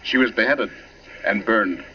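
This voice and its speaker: An actor playing a genial college professor who relishes old murders, in 1940s radio drama style. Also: male voice, on the low side, unhurried, lightly acted. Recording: muffled, noisy 1940s radio recording.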